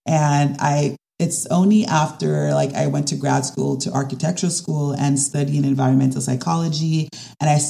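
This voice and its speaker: A woman, a design specialist talking through her ideas in a casual interview, often pausing and restarting. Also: deep voice, lively intonation, gravelly, mellow. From Caribbean. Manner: thoughtful, with frequent restarts and fillers. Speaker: design and construction specialist guest.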